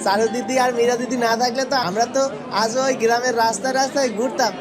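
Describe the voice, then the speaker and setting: Man voicing cautious young cartoon shopkeeper, earnest village manner, loud music, crowd behind. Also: very high voice, fairly even intonation, a little fast. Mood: earnest, bustling. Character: sensible, cautious young village snack seller. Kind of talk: Bangla fairytale cartoon voice acting.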